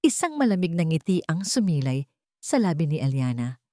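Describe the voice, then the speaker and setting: A woman with a Filipino accent reading a scripted story as a narrator, in measured phrases with dramatic pauses. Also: low-pitched, very animated, mellow, no echo, studio quality.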